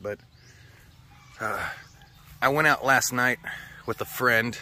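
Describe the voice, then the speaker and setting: A man's voice: medium pitch, takes it slowly, pushed and bright. An American man with a slightly sore throat, rambling casually and swearing often as he chats for a vlog. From United States. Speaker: vlogger. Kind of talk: casual chat.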